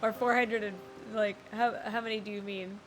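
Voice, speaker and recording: A woman giving casual live gameplay commentary as a streamer, excitable, with teasing asides. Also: mid-range voice, moderate pace, poor audio. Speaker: game streamer.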